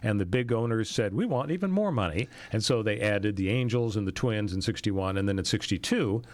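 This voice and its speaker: A man's voice: fairly low pitch, lively intonation, fairly quick. A man hosting a radio talk show in a joking, teasing, bantering style.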